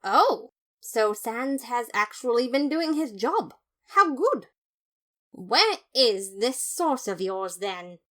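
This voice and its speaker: A woman playing a pompous, fastidious skeleton detective, narrating a story in the first person with theatrical, haughty disapproval. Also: a little high, big pitch swings, sharp tone, heavily acted. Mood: annoyed.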